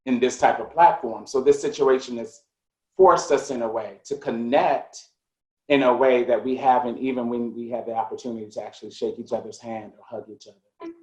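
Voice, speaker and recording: A man speaking as a curator on a video-call panel, reflective and measured as he builds his points step by step. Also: medium pitch, steady tone, darkish tone. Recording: echoey room on a video call.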